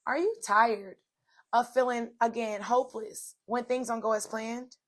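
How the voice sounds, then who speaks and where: A woman hosting a solo inspirational talk, her voice slightly hoarse, chatty and direct, addressing viewers as "y'all.". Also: on the high side, steady tone, measured pace. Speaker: podcast host. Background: soft music playing.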